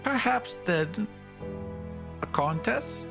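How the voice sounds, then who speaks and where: A man voicing an earnest, idealistic small male would-be architect of fate in a fantasy roleplay, pleading in a formal, halting, hushed way. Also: sits high, lively intonation, creaky, over music, voice pushed up, exaggerated voice. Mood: tentative challenge.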